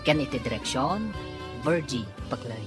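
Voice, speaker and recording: A woman's voice: a bass voice, very animated, normal speed, over music. A woman announcing the closing credits of a radio drama in a formal style.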